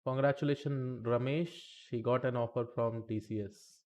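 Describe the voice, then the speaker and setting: A man's voice: mid-range voice, fairly even intonation, normal speed, darkish tone, lo-fi sound. A man teaching statistics in a live-streamed lesson, chatty and talking to the chat between points, saying okay often.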